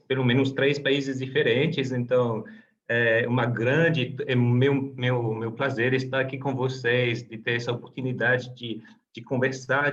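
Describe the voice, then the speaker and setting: A man giving a webinar talk as a guest professor, speaking Portuguese that is not his first language, hesitant and full of restarts. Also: mid-range voice. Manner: hesitant, with many restarts and repeated words. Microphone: video call. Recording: slightly dull video-call audio.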